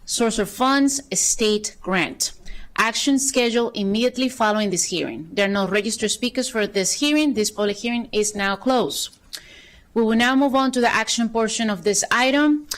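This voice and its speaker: A woman chairing a county council session, reading procedural items briskly with light asides, at a desk microphone in a council chamber. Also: fairly high pitch. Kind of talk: formal procedural meeting chairing.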